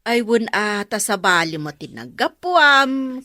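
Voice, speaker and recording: A woman's voice: on the high side, very animated, takes it slowly, bright, forceful tone, no room sound, heavily acted. A woman acting an inquisitive, gossipy village neighbour in an Ilocano comedy drama, with an Ilocano accent. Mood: nosy, chatty.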